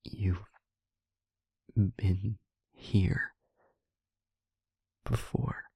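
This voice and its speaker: A man playing an anguished demon in an intimate audio roleplay, speaking haltingly and hushed, pleading with someone he loves. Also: low-pitched, fairly even intonation, no echo, exaggerated voice. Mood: grave, hesitant. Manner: halting, hushed, breathy near-whisper, pleading. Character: anguished male demon confessing to a loved one.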